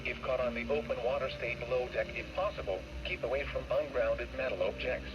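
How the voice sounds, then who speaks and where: Synthetic male weather-radio voice, hissy. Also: medium pitch. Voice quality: even, synthetic text-to-speech delivery. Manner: flat, measured, word-by-word reading. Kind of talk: automated weather broadcast reading. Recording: dull, hissy radio receiver recording.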